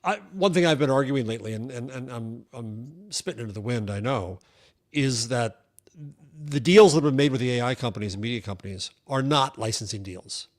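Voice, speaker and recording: A man's voice: mid-range voice, expressive, fairly quick. An older man appearing as a guest media journalist, arguing his views thoughtfully in casual chat, with frequent restarts. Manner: thoughtful, argumentative, stumbles on restarts.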